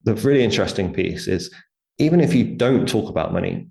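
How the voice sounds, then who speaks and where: A man's voice: lowish voice, animated, a little fast, gravelly, a little mellow. A man speaking as an interview guest, explaining things conversationally step by step and voicing imagined quotes as he goes. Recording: roomy, echoing sound.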